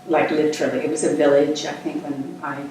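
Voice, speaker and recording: An older woman born in Scotland, talking about her life in a relaxed, thoughtful way, pausing often as she answers. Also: sits low. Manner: relaxed, thoughtful, with frequent hesitations. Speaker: interview guest, actress. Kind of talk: casual conversational interview. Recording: echoey room, distant mic, faint noise.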